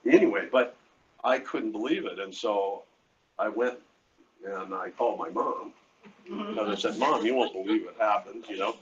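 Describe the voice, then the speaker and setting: An older man with a deep voice telling rambling, halting anecdotes in a casual interview, often quoting conversations. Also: lively intonation. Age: 60s or older. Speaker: artist being interviewed. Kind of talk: casual storytelling at a live event. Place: hotel event space. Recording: muffled lo-fi live stream, noisy room.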